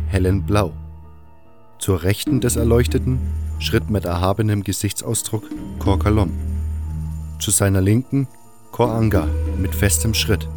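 Deep-voiced man narrating over music. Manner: measured, deliberate phrasing with pauses. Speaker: narrator of fan radio play. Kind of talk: scripted dramatic audiobook narration.

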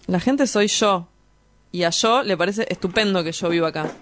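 A woman's voice: on the low side, normal speed, heavy background noise, natural voice. Brash young Argentine drug dealer played by a woman. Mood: defiant. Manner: slangy, teasing, insolent. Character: reckless young male small-time drug dealer, brash, cynical, provocative. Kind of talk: scripted radio drama acting.

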